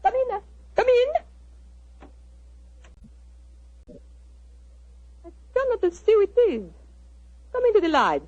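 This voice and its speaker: An actress playing a volatile, domineering opera singer with an Italian accent, grandiose and melodramatic in 1940s radio drama style. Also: female voice, very high voice, sing-song, normal speed, darkish tone, no room sound, dull audio. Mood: imperious. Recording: old 1940s radio recording.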